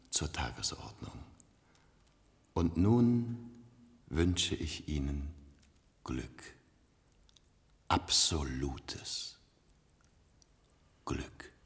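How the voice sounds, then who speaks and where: A man acting a complacent, cultured ruler of a future elite in a 1970s radio play, suave, measured and condescending, in an echoey hall. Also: rumbling low, vocal fry, full character voice. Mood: silky menace.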